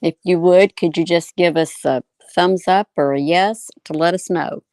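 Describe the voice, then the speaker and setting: A woman's voice: on the low side, normal speed, darkish tone, no echo, studio quality. A woman co-hosting a casual livestream, talking in an earnest manner. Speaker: livestream co-host.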